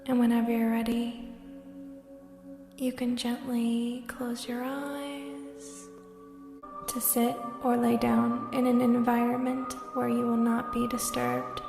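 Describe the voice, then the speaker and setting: Slow, breathy meditation guide woman. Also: fairly high pitch, little pitch movement. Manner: slow, soft and soothing, drifting into whispers. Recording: roomy echo with long reverb and soft music.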